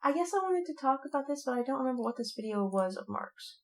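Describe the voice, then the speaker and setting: A woman with a fairly high voice chats as a podcast co-host. Her delivery is casual, loose and rambling, like talk among fellow fans. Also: animated, quick pace. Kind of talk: casual fan chat.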